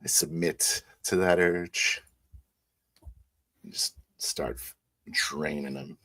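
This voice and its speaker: A man improvising first-person narration in a tabletop roleplay game, halting and low-key. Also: steady tone, sharp tone.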